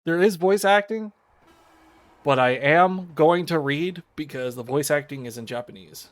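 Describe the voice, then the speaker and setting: A man's voice: on the high side, measured pace, no room sound. A man chatting casually as a game streamer, swearing and joking in loose asides. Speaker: streamer reading a visual novel aloud. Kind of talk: casual stream chat and read-aloud narration.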